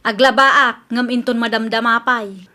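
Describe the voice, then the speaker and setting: Woman playing a brash, high, bright Ilocano housewife. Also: steady tone, a little slow. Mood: dismissive. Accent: Ilocano Filipino. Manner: defensive, dismissive. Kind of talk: radio comedy drama acting.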